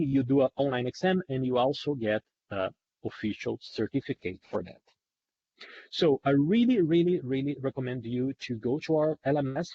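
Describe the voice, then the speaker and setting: A man's voice: mid-range voice, dark tone, breathy edge. A man who is a non-native English speaker presents a training webinar, repeating and rephrasing points for emphasis. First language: not English. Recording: dull lo-fi online webinar audio.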